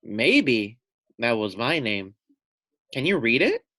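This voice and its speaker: A man's voice: medium pitch, very animated, slow pace, pushed and bright, no echo, clean studio sound, exaggerated voice. A man voicing a small, fluffy young female lamb, innocent-seeming but crafty, in a children's storybook. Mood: coaxing.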